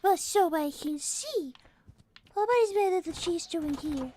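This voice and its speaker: A woman's voice: extremely high pitch, lively intonation, measured pace, warm tone, a little hiss or hum. A woman voicing a prim, polite little cartoon rabbit girl who is protective and fussily scolding. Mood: prim, disapproving. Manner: prim, fussy, genteel exclamations. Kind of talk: in-character livestream comedy.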